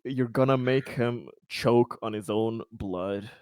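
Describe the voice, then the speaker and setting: A man's voice: medium pitch, slow, darkish tone, clear, resonant tone, no echo, clean studio sound. A man playing a tabletop game, making casual, wry jokes and banter. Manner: joking, wry.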